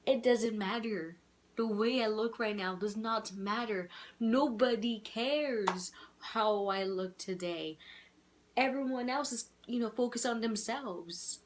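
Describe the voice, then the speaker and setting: A young woman with a childish-sounding voice, talking candidly and rambling in a casual video letter to her twin, laughing softly at herself. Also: a little high, slow, a little hiss or hum. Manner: candid, rambling, self-deprecating with frequent soft laughs. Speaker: vlogger.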